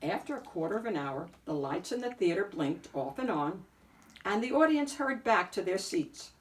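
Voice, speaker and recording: A woman reading a picture book aloud as an expressive narrator, phrasing deliberately with emphatic swells. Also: medium pitch, recorded from a distance. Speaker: picture book reader and host.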